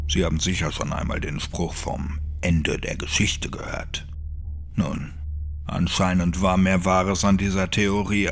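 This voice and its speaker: A man voicing a nameless, resigned monk in a horror audiobook, with a dark, hushed, breathy voice and a slow, grave, fatalistic manner. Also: extremely low pitch, a little hiss or hum, exaggerated voice. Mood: wistful, grave. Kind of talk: dramatic audiobook character acting.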